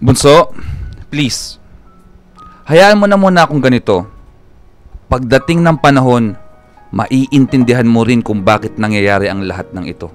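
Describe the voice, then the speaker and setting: A man's voice: mid-range voice, a little slow, pauses often, lightly acted. A man playing an aloof, irritable, secretive older brother with a Filipino accent in a radio drama, brusque and dismissive. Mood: earnest, weary. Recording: music bed behind the voice.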